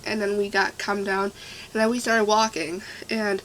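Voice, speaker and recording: Young woman, stuffy nose, excitable storyteller full of fillers, faint room hiss behind. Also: mid-range voice, a little slow, rough recording. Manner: rambling, filler-heavy, excitable. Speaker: vlogger. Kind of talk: casual storytime.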